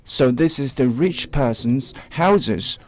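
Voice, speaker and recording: A man giving spontaneous spoken commentary on a live tour, haltingly repeating and rephrasing as he goes. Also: medium pitch, expressive, darkish tone.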